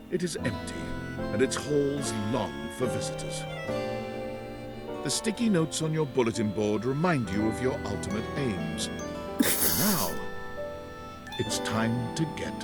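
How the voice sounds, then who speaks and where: Brief: a composed game narrator, lively pitch, music loud under him. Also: male voice. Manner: measured scripted narration. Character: calm male game narrator. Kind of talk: video game intro narration.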